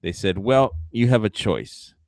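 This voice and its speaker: A man's voice: lowish voice, lively intonation, measured pace, vocal fry, a little mellow, no room sound, clean studio sound. A man hosting a livestream, rambling in casual commentary with frequent fillers, profane and mocking. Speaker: livestream host.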